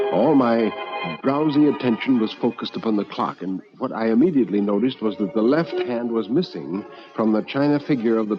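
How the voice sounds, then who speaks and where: A lowish voice over clear background music on a 1950s radio recording. It is a meek, self-deprecating bachelor, narrating his own strange story in a measured, deliberate, wistful way. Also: lo-fi sound. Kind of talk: dramatic first-person radio narration.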